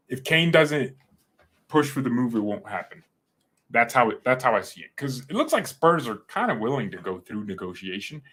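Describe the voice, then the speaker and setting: A man hosting a fan channel, chatting casually with his live chat, rambling and joking with sudden loud outbursts. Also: mid-range voice. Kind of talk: casual livestream chat with viewers.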